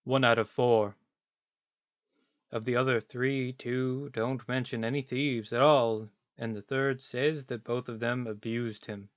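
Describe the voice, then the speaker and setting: A man performs a philosophizing tramp in a radio play, pedantic and exasperated. He speaks slowly and emphatically, pressing each point. The recording is dry, with no room sound. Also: medium pitch, fairly even intonation, no echo, lo-fi sound, close to an everyday voice. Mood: insistent. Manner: emphatic, ponderous, insistent.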